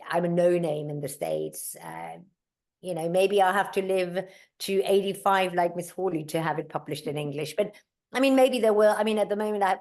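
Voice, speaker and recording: Chatty german-native woman telling stories in an interview, low voice, lots of "you know"s. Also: darkish tone. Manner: chatty, with many fillers and asides. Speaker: mountaineer and guest. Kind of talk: casual interview storytelling.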